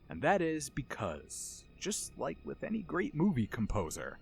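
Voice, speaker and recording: A man narrating a scripted video essay as its host, with an expressive, dramatic storytelling delivery. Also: medium pitch, husky. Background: music under the voice.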